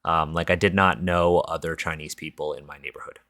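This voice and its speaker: A man's voice: extremely low pitch, steady tone, fairly quick, a bit bright, no echo. A man with a Canadian accent hosting a podcast, chatting casually in a candid, informal and reflective way. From Toronto, Canada. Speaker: podcast host.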